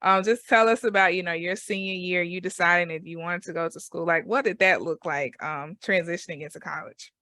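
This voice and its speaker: A woman's voice: fairly low pitch, steady tone, fairly quick, hardly any pauses, pushed and bright, no room sound. Dry-voiced woman radio host. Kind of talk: radio interview.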